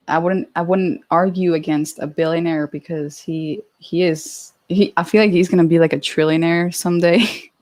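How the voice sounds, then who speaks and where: Slow, giggly young woman streamer. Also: lowish voice, a little mellow. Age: 20s. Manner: giggly, chatty, with many fillers and restarts. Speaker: livestream host answering viewer questions. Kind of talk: casual live chat.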